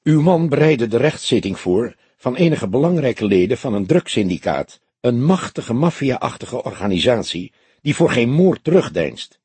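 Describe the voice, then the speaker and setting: A man voicing a husky, solemn old detective. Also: medium pitch, sing-song, a little mellow, no echo. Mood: careful. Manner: formal, measured, cautious. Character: weary old male police detective, solemn and careful.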